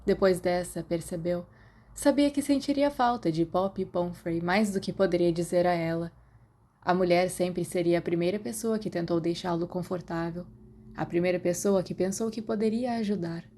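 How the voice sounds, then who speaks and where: Female audiobook narrator, Brazilian, very mellow tone on the lower side, for scripted fiction. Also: normal speed. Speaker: podfic narrator.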